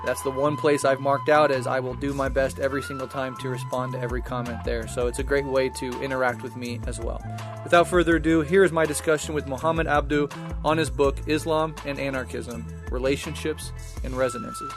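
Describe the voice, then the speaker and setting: A man's voice: medium pitch, fairly even intonation, fairly quick. A man hosting a podcast, giving a casual spoken introduction. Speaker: podcast host. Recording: music under the voice. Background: guitar music.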